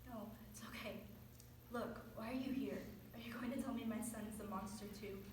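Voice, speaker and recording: A woman's voice: on the high side, fairly even intonation, brisk, natural voice. A young woman on stage playing a grieving, embattled mother of a disgraced teenage son. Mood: subdued, hurt. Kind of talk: high school readers theater performance. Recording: very echoey room, distant mic, faint noise.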